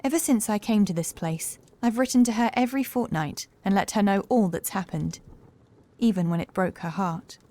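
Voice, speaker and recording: A woman playing a young housekeeper in a horror audiobook, calm and assured, with measured, formal speech and a touch of sorrow. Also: mid-range voice, natural voice. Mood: quiet, thoughtful. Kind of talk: horror audiobook character acting.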